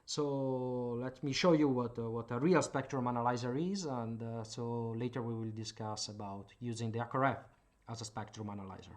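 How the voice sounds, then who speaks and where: A man's voice: mid-range voice, fairly even intonation, moderate pace. A man from Italy with an Italian accent, explaining radio gear at his home workbench in a hesitant way, full of filler sounds. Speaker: hobbyist channel host. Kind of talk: casual explanatory demo.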